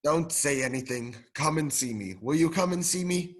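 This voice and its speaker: A man's voice: on the high side, moderate pace, close to an everyday voice. A male drama student playing an elderly reformed miser, joyful and repentant, in a radio play. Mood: jovial, grateful.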